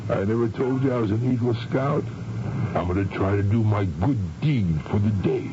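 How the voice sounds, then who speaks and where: An actor playing a cool, sardonic blackmailer and killer in a 1970s radio drama, with streetwise, falsely affable menace. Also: male voice, on the low side, normal speed, close to an everyday voice. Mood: wry, scheming. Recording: noisy, dull vintage radio broadcast.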